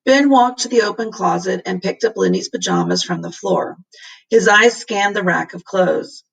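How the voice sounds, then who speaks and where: A woman reading a children's storybook aloud as a teacher would to a class, in expressive narration. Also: mid-range voice, normal speed, gravelly, husky, recorded from a distance, low-quality recording.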